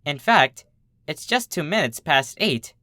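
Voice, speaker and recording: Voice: medium pitch, animated, a little slow, bright, forceful tone, dry recording, studio quality. A narrator voicing a polite, bookish young female pony, friendly and earnest.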